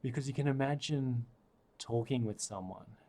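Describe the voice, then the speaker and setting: A man guiding a breathing meditation, speaking softly and soothingly with long pauses. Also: medium pitch. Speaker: meditation guide.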